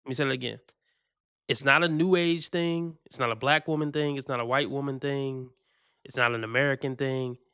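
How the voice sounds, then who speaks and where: A man's voice: mid-range voice, steady tone, brisk, sharp tone, clean tone, dry recording. A man hosting a stream, reacting to clips in slangy, casual talk, laughing and repeating his points for emphasis.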